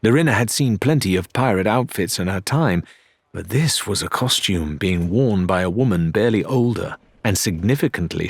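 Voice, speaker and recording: A man's voice: sits low, a bit bright, slightly rough, dry recording. A man reading a dramatic adventure audiobook as narrator, in scripted storytelling style.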